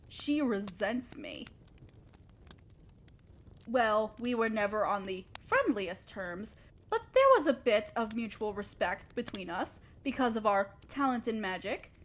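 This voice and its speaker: A haughty evil queen from a fantasy audio roleplay, acted out by a woman. Her voice sits on the high side for a woman. She addresses her underling with imperious, theatrical authority, as a ruthless sorceress guarding her empire. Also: full character voice. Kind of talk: scripted one-sided fantasy audio roleplay.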